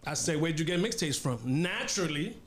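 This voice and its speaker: A man in his forties with a New York accent hosts a relaxed street-style interview. His voice sits high for a man and keeps jumping with excitement as he talks. He stumbles and restarts as his thoughts run ahead of him. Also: fairly quick. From Bronx, New York. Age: 40s. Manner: animated, excitable, frequent restarts. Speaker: host. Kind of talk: casual interview.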